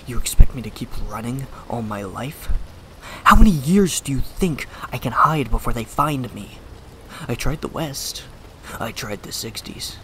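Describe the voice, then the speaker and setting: An actor in an audio drama playing a bitter, hunted superhuman rebel with fierce conviction and an intense, hushed, menacing delivery. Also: mid-range voice, noisy background, lightly acted. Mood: bitter, challenging.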